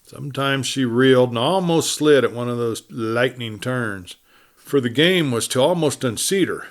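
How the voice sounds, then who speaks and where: A man's voice: mid-range voice, animated, moderate pace. A man with an American accent reading a western story aloud as dramatic narration. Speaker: audiobook narrator.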